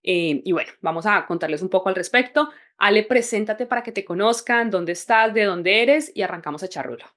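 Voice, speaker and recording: A woman's voice: mid-range voice, brisk, few pauses, a bit bright. A woman hosting a podcast, introducing her guest in an upbeat, encouraging way. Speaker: podcast host.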